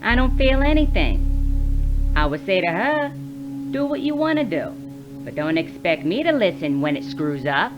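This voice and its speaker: A woman's voice: medium pitch, big pitch swings, moderate pace, close to an everyday voice. An actress playing an indifferent woman trial subject who answers bluntly and dismissively in a recorded interview. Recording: muffled interview clip with music.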